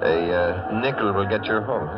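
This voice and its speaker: A man playing a low-voiced, gallant radio stranger. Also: moderate pace, a loud crowd, lightly acted. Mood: casual, friendly. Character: tall, smooth, courteous male stranger, casually gallant. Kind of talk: 1940s radio drama acting. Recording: dull old radio broadcast, heavy background noise.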